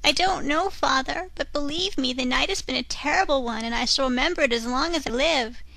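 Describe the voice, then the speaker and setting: A woman with a Canadian accent voicing a hungry, whiny wooden puppet boy, pleading in a high voice. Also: brisk, creaky, sharp tone, no echo, heavily acted, low-quality recording. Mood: wailing.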